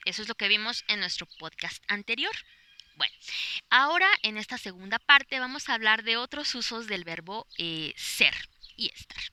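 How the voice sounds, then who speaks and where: A woman's voice: medium pitch, lively intonation, normal speed, pushed and bright, slight background noise. A woman with a Mexican accent, a Spanish teacher giving a podcast lesson outdoors in a park, explaining patiently with many fillers and examples.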